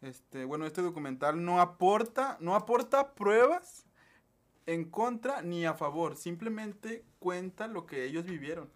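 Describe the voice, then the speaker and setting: Young mexican dude, kinda high voice, rambles a lot, keeps stopping to fix what he said, laid-back podcast chat. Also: expressive, a little slow. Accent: Mexican Spanish. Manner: rambling, full of fillers and self-corrections. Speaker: podcast co-host. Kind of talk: casual chat.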